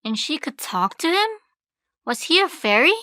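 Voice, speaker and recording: A woman's voice: high-pitched, very animated, moderate pace, sharp tone, no room sound, clean studio sound, heavily acted. A woman playing a mischievous, eager female sprite with impish, teasing theatrical delivery. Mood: impish, curious. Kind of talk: theatrical stage acting.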